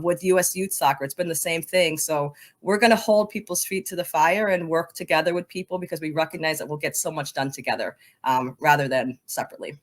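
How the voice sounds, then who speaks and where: A woman speaking as an interview guest in a conversational, emphatic way, repeating words to drive points home. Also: sits low. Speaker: podcast guest, soccer coaching advocate.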